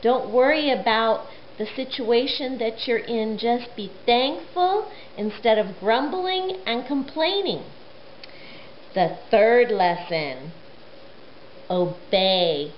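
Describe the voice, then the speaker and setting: Woman storyteller for kids, expressive, fairly high voice, lo-fi recording. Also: slow. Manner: animated, expressive, addressing children. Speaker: children's storyteller. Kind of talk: children's Bible storytelling. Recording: muffled lo-fi recording, faint noise.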